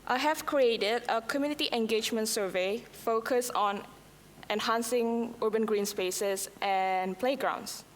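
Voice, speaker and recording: A woman whose first language is Indonesian, presenting a scripted software demo at a conference, with a few slips and restarts. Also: fairly high pitch, unhurried, a little hiss or hum. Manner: reads prepared lines, occasional slips and restarts. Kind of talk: scripted product demo talk. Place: conference hall. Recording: long hall reverb, faint ambience.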